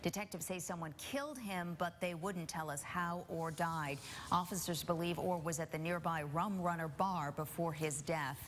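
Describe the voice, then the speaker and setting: A woman's voice: lowish voice, moderate pace, slight background noise. A woman with an American accent reading a scripted newscast as a news anchor.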